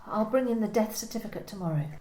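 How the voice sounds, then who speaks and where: An actress playing a grieving young mother and office worker, speaking quietly and haltingly, then breaking into anguished outbursts. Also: mid-range voice, roomy sound, lightly acted. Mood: stunned. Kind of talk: amateur radio play reading.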